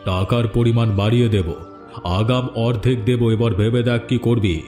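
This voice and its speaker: A man's voice: medium pitch, fairly even intonation, moderate pace, mellow, close to an everyday voice. An actor playing a firm, insistent village man in a dramatic fairy-tale cartoon. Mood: stern, scheming. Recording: echoey studio dub with background music.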